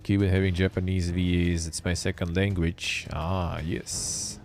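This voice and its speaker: A deep-voiced man hosts a live stream in a loose, offhand way, muttering to himself and reading text aloud with dry little remarks. Soft music plays quietly behind him. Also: level tone, measured pace. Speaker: streamer and show host. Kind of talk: casual live-stream commentary.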